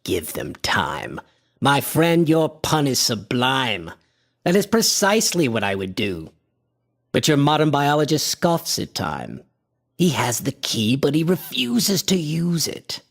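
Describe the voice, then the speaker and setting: An actor playing an obsessed, eccentric antiquarian visionary in a radio horror drama, with a husky, impassioned and pompous delivery. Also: male voice, fairly high pitch, wildly swooping pitch, takes it slowly, heavily acted. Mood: musing, persuasive.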